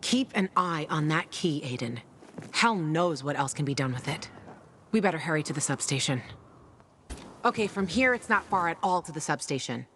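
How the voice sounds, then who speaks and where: A woman's voice: low voice, animated, normal speed, fairly bright tone, a little hiss or hum. An actress playing a tough, sardonic young woman guiding a newcomer, brash and teasing, in a game cutscene. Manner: brash, teasing, swears freely.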